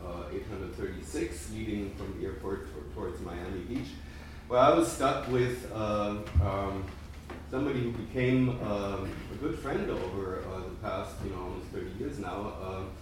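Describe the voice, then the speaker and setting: A man's voice: fairly low pitch, normal speed. A middle-aged man with a German accent giving a casual academic lunch talk, rambling with many fillers and restarts. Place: lecture room. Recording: roomy distant recording with noise.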